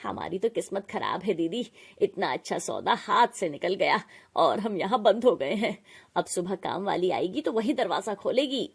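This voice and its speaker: A woman voicing a crude, threatening male trafficker in an animated moral story. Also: mid-range voice, normal speed, vocal fry, breathy edge, exaggerated voice. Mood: grumbling. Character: menacing male trafficker, shouting and grumbling.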